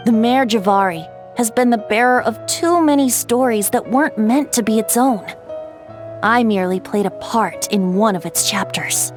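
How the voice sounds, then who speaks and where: High-voiced, lilting youthful wind-spirit bard played by a woman. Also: moderate pace, lightly acted. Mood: solemn, reflective. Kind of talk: scripted game character storytelling. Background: background music.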